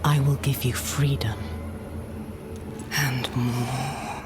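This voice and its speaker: An actress playing a regal, scheming demon queen in a fantasy game, breathy, imperious and mocking. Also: rumbling low, little pitch movement, drawn-out words, heavily acted. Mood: coldly persuasive. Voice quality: breathy, whispery. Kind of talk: dark fantasy game cutscene acting. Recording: loud music behind the voice. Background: loud music.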